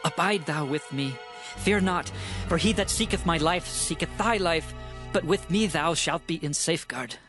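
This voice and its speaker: A man's voice: a little high, moderate pace, a bit bright, faint music behind, natural voice. A man playing an earnest, protective young fugitive leader in a scripture drama, speaking fervently and formally. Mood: remorseful, reassuring.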